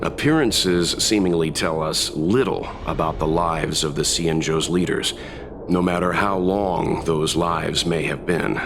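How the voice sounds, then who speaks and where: A male actor playing a polite, reflective traveler with gentle dry humor in a video game. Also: very deep voice, a little slow, creaky, background noise, exaggerated voice. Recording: music behind the voice.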